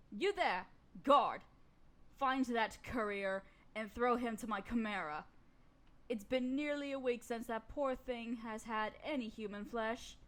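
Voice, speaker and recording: Woman acting a haughty, high-voiced evil queen. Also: a bit bright. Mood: irritated, commanding. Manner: formal, pompous, condescending, slow deliberate delivery. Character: imperious evil queen and sorceress, haughty, menacing, dominant. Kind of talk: scripted fantasy audio roleplay addressed to listener.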